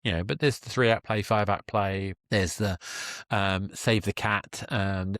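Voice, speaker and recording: A man's voice: lowish voice, steady tone, a little slow, fairly bright tone, no echo, clean studio sound. A man hosting a conversational podcast interview, talking in a discursive, emphatic way with jokey asides. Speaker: podcast host.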